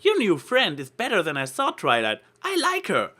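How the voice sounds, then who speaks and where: Man as a cocky young female storybook pony, boastful and teasing, lifted pitch. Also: wildly swooping pitch, moderate pace, bright, forceful tone, exaggerated voice. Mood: proud.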